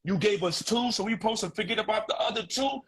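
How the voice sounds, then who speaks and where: A man's voice: sits high, quick pace, crowd noise. A man in his forties talking casually and emphatically on a podcast, repeating phrases and using street slang. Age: 40s. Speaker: guest.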